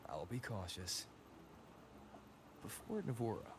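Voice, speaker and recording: A man voicing an earnest, troubled young hero in a video game story, with a hesitant, soft-spoken delivery. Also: fairly low pitch, huge pitch swings, takes it slowly, gravelly, exaggerated voice. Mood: guarded. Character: troubled, self-doubting young male hero, earnest and caring. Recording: loud background noise and ambience. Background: loud ambient noise.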